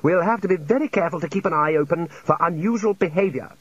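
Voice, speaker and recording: An anxious young male student wizard, acted out by a man narrating a storybook, pitched up and strained. He is earnest, exasperated and insistent, on a dull recording. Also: fast talker, breathy edge, no room sound. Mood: tense, snappish.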